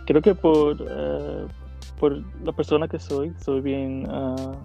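A man's voice: on the high side, flat delivery, slow, vocal fry, warm tone, highs cut off, soft background music. A man in his thirties from the Dominican Republic, answering interview questions casually with many fillers and English words mixed in. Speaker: interview guest, content creator.

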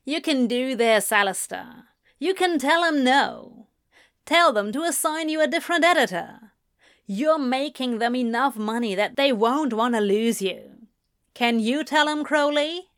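A woman voicing an indignant, protective, no-nonsense woman with a brisk, insistent manner, in a story reading. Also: high-pitched, animated, fairly bright tone, dry recording, clean studio sound, voice pushed up, full character voice. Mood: urging.

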